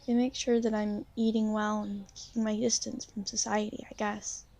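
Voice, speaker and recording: A young woman playing an insecure, gentle newly turned vampire in an ASMR roleplay, speaking softly and haltingly, with shy apologies. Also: medium pitch, little pitch movement, gravelly, natural voice. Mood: reassuring, apologetic. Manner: hesitant, halting, soft-spoken, apologetic. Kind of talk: ASMR roleplay, intimate one-sided conversation.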